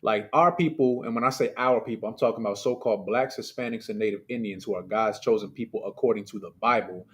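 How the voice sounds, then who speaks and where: A man's voice: fairly low pitch, fast, hardly any pauses, fairly bright tone. A man preaching an informal, livestreamed sermon alone at his mic. He talks in a loose, conversational way, full of asides and fillers, and keeps putting rhetorical questions to his listeners. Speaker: preacher leading a livestreamed service.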